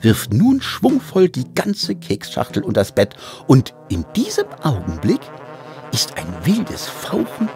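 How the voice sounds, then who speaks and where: A man narrating a children's story in an expressive, theatrical way. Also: fairly high pitch, takes it slowly. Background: music.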